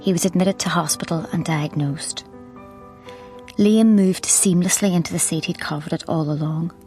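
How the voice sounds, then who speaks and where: An Irish actress playing a concerned, insistent newspaper colleague in a radio drama. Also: female voice, on the low side, normal speed, darkish tone, soft background music, lightly acted. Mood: reflective narration. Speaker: actor in a radio play. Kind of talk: naturalistic radio drama acting.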